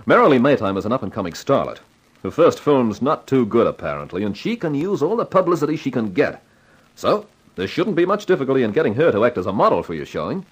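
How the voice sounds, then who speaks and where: A man's voice: medium pitch, very animated, quick pace, breathy edge. An actor playing a slick, cocky advertising man in a hardboiled 1950s radio drama. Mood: confident. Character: brash, wisecracking advertising man with an eye for women. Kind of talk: 1950s radio drama acting, hardboiled first-person narration.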